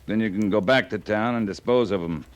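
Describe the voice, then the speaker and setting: An actor playing a terse, stern, skeptical cavalry captain in an old radio western. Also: male voice, on the low side, brisk, close to an everyday voice. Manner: terse, authoritative. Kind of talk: 1950s radio drama acting. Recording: old radio recording with faint noise.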